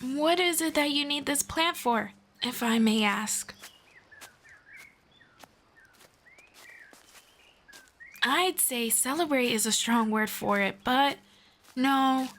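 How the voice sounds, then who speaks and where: A woman playing a friendly, knowledgeable elf in a scripted roleplay, speaking to the listener in a formal, measured, gently explanatory way. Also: a little high. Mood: polite, curious. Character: friendly, knowledgeable female elf guiding a human. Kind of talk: scripted roleplay audio, one-sided conversation with listener.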